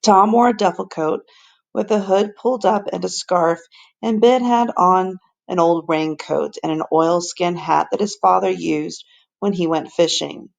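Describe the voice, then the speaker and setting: Woman reading a storybook, creaky voice. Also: fairly low pitch, unhurried, lo-fi sound. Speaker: teacher reading aloud. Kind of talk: expressive storybook narration for children.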